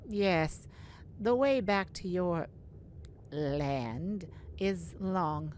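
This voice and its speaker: A woman playing a stately, merciful mermaid leader in a fantasy audio roleplay, speaking in a formal, deliberate, regal manner. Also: fairly low pitch, animated, a little mellow, a little hiss or hum. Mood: dignified, reassuring.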